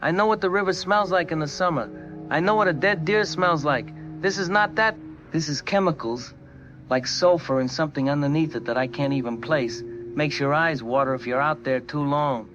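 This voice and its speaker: A man's voice: fairly high pitch, moderate pace, lo-fi sound, music in the background, natural voice. A man playing an indignant, blunt homeowner phoning in a complaint to a radio show in an audio drama. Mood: exasperated. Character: exasperated, talkative male homeowner calling radio show. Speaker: radio caller. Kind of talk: scripted phone-in complaint.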